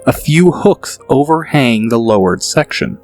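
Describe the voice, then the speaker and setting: A man narrates a fantasy audiobook in a steady, mid-pitched voice. He lays out each scene calmly and in detail. Faint music runs underneath. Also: unhurried. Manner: steady, descriptive storytelling.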